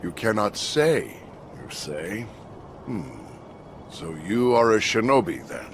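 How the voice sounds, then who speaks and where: A formal samurai guard from a game cutscene. His lowish voice moves freely in pitch, with a wistful, musing streak. Background ambience is clearly audible. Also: big pitch swings, slow pace, background noise, full character voice. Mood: formal, inquisitive. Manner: formal, musing, breathy murmurs rising to firm speech. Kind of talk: video game cutscene acting.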